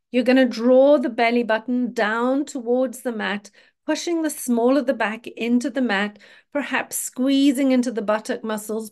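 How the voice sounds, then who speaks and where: A woman yoga teacher leading a guided relaxation meditation in a gently directive, instructional way. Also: fairly high pitch.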